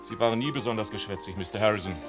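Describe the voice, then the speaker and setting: An actor playing an obliging, talkative bartender with sly humour in a German radio play, polite and wry. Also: male voice, fairly low pitch, very fast, lightly acted. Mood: chatty, polite. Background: music.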